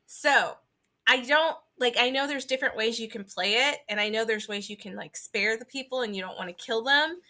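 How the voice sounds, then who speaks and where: A woman's voice: a little high, normal speed, pushed and bright. A woman podcast co-host in casual chat about games, informal and sweary, peppering her speech with "like".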